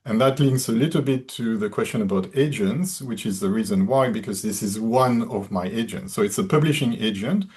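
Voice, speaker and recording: A man's voice: on the low side, a little fast, hardly any pauses, darkish tone. A man whose first language is French, explaining his workflow in a casual online discussion, thoughtful and step by step. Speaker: webinar presenter.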